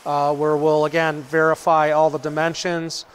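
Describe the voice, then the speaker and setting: A man's voice: on the high side, monotone, normal speed, a little hiss or hum. A middle-aged man explaining processes on a factory tour, matter-of-fact, with frequent ums and uhs, recorded on a lapel mic in a large machine shop. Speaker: company manager giving a shop tour.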